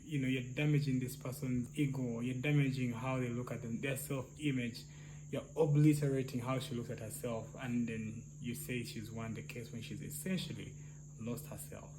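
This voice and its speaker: Soft-voiced man, noisy recording. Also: level tone, moderate pace. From Uganda. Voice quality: soft, somewhat high-pitched voice. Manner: thinks aloud with restarts and tag questions. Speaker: clinical psychologist, co-host. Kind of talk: casual discussion. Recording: noisy, crickets and hum.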